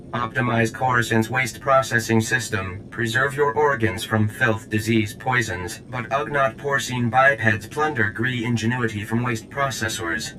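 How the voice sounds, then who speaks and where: A man voicing a stiff, haughty alien operator in a video game, speaking in stilted formal phrases with deliberate delivery. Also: on the low side, background noise, close to an everyday voice. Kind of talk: video game character acting.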